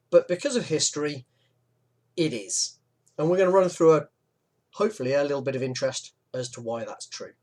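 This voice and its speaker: A man narrating a local history video in a chatty, informal way. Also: a little high. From West Midlands, England. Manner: chatty, relaxed, with fillers and asides. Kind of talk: informal explanatory narration over maps.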